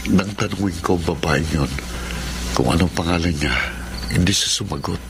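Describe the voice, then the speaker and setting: A smitten, troubled male traveller in a radio drama. The voice is deep, brooding and a little hesitant. Loud ambient noise sits behind the dramatic delivery. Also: moderate pace, creaky. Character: troubled, smitten male traveller narrating his haunting encounter.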